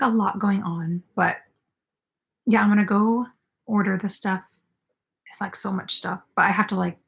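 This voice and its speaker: A woman's voice: medium pitch, gravelly. A young woman hosting a casual crochet live stream, chatting with viewers and filled with "like" and "um". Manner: chatty, filler-heavy, reads viewer comments aloud.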